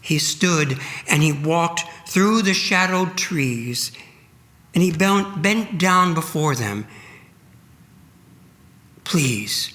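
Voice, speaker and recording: A man with a fairly high, forceful voice narrates a sacred story. His delivery is solemn and dramatic, very slow, and broken by deliberate pauses. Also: expressive. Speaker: reader at a church Good Friday service.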